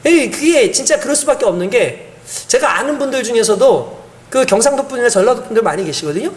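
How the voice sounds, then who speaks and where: A man's voice: very high voice, lively intonation, fast talker. A male pastor delivering an earnest, emphatic sermon, asking the congregation rhetorical questions and chuckling now and then.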